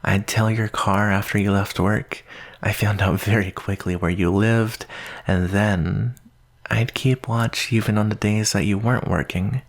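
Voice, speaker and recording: A man playing an obsessive, lovestruck stalker in an intimate ASMR roleplay, with halting, murmured delivery. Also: on the low side, fairly even intonation. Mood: infatuated, possessive. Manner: slow, halting, breathy murmurs, nervous laughs. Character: obsessive, lovestruck young male stalker, softly menacing captor.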